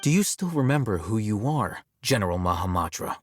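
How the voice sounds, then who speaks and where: An actor playing an aloof, coolly logical young male scholar in a video game, measured, detached and matter-of-fact. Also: fairly low pitch, very animated, slight background noise. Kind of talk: video game character dialogue.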